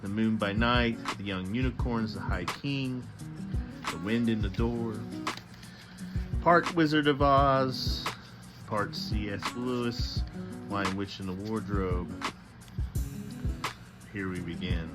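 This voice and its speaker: A man hosting a storybook read-aloud, reading with dramatic emphasis and adding casual commentary. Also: on the low side. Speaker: host reading books aloud.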